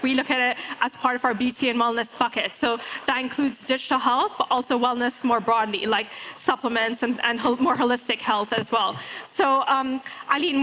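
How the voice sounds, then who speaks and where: A woman's voice: high-pitched, bright, forceful tone, poor audio. A woman moderating an investor panel on a conference stage in a conversational style. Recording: faint ambient noise, live event stage.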